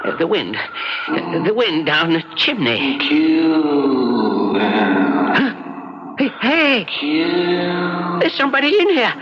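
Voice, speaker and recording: An actor playing a gullible, blustering colonial American bargain hunter with a folksy, stammering delivery, in old-time radio drama style. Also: male voice, high-pitched, big pitch swings, slow pace, gravelly, long pauses, music in the background, exaggerated voice. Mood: nervous, alarmed. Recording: muffled radio drama recording, loud background noise.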